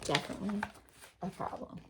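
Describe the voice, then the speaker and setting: A woman's voice: fairly quick, noisy background. A woman teacher reading a storybook aloud expressively, with questioning, instructive asides.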